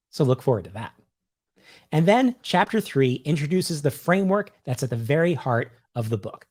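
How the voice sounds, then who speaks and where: A man presents to camera as an author hosting his own book series. His mid-pitched voice moves widely in pitch, with deliberate pauses for effect and wry asides within a scripted, explanatory delivery. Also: darkish tone. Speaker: author and host of a read-along book series.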